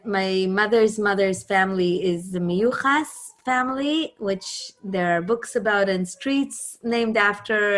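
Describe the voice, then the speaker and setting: A woman from Israel whose first language is Hebrew, a musician talking casually over a video call, searching for words with many pauses and restarts. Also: mid-range voice.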